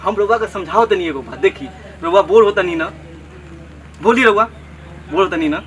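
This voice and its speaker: Man playing a high-pitched, pleading young village lad. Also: moderate pace, fairly bright tone, slight background noise, poor audio. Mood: coaxing. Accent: Bhojpuri. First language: Bhojpuri. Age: young adult. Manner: wheedling, boastful, repetitive. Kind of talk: comedy skit dialogue.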